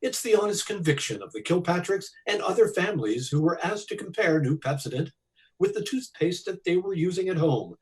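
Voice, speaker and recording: A man's voice: sits high, animated, a little fast. A man announcing in 1940s radio style, reading sponsor copy with an emphatic sales delivery.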